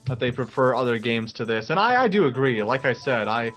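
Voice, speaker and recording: A young man chats casually as a gaming co-host, with faint music playing underneath. His delivery is halting and conversational, and he tends to agree as he builds his points. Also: medium pitch, sing-song, fairly bright tone. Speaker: let's play co-host.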